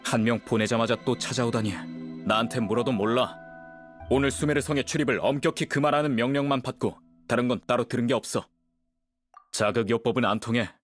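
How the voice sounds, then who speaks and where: An actor playing a brusque, unbending city gate guard in a video game, curt, dismissive and officious. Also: male voice, medium pitch, steady tone, fairly quick, faint music behind, close to an everyday voice. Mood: irritated.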